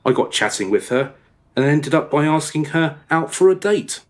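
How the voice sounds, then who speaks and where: A man's voice: a little high, lively intonation, moderate pace, close to an everyday voice. An actor playing a remorseful married father in a radio play, confessing his past in a rambling, hesitant, over-descriptive way. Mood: sheepish, confiding. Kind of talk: radio play dialogue, storytelling monologue.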